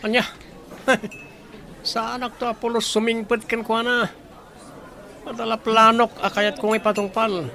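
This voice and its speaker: Actor as a high-voiced, gravelly Ilocano schemer. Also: male voice, little pitch movement, a little slow, pauses often, bright, forceful tone, some chatter behind, heavily acted. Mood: sly, scheming. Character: scheming, vengeful male friend hiding a grudge. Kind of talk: Ilocano audio drama acting.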